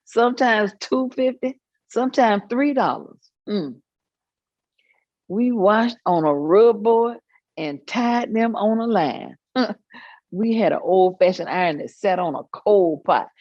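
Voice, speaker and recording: A woman's voice: fairly high pitch, darkish tone, no room sound, dull audio. An actress performing an elderly church-going woman's recollections in a staged reading, folksy and full of small asides. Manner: folksy storytelling with hummed asides and chuckles.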